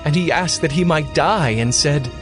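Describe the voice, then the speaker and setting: A man's voice: on the high side, normal speed, over music. A man giving scripted, dramatic narration for an audio Bible.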